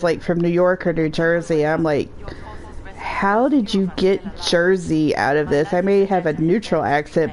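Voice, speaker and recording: Low-voiced Southern woman livestreaming. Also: darkish tone, poor audio. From Southern United States. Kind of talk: casual chat while streaming a game.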